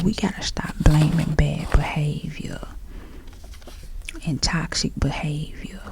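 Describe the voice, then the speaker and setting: A woman with a Southern US accent doing chatty ASMR, speaking softly and half-whispering like a folksy auntie giving advice. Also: low-pitched, gravelly, rough recording. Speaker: ASMR creator packing orders.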